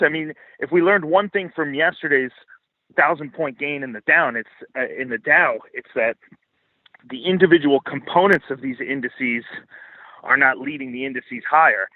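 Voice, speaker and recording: A man's voice: fairly high pitch, no echo. A man giving heated, opinionated interview commentary as a podcast guest on financial markets, blunt, emphatic and freely swearing. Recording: band-limited phone-like line, dry.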